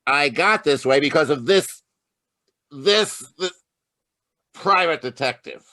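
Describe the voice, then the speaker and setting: A man's voice: fairly high pitch, lively intonation, takes it slowly, sharp tone, dry recording, exaggerated voice. A man playing a short-tempered police lieutenant in an old-time radio detective drama, grumbling and blustering. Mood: exasperated. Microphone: video call.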